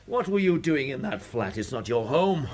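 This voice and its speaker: An actor playing a brutal, menacing police interrogator with a British accent, curt and commanding, in a radio drama. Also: male voice, medium pitch, big pitch swings, moderate pace, a little mellow. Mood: probing.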